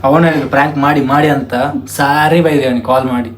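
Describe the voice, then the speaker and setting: A man's voice: fairly high pitch, steady tone, fast. A young man chats casually as a prank vlog host, informal and often repeating his phrases. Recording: clear background noise.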